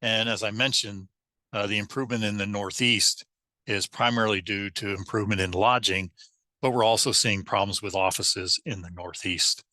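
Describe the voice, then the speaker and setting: Hoarse male webinar presenter, fairly low voice, bright and forceful tone, halting delivery, dry close mic. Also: steady tone, dry recording. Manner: halting, with frequent restarts and fillers. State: hoarse, losing his voice.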